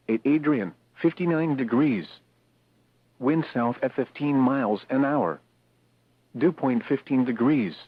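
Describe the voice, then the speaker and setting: A synthetic text-to-speech man reading a scripted weather bulletin as an automated radio announcer, in measured, clipped, impersonal phrases over a broadcast radio signal. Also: mid-range voice, phone-quality sound.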